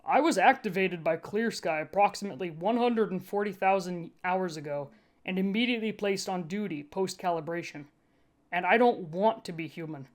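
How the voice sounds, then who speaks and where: This is a sullen part-machine security unit from an audio story, acted out by a woman. The delivery is flat and deliberate, with a lowish pitch. Statements come out clipped and literal. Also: close to an everyday voice. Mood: stiff objection.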